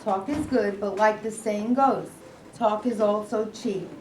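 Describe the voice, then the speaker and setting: A woman's voice: medium pitch, unhurried, close to an everyday voice. Lady reading a fired-up radical guy, rallying the room, echoey with a little noise. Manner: forceful and rallying. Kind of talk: staged reading.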